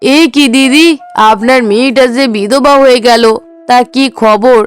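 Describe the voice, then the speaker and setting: A high-voiced neighbour woman acted by a woman speaks in a cartoon melodrama. She is righteous and reproachful, scolding through pointed questions. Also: measured pace, faint music behind.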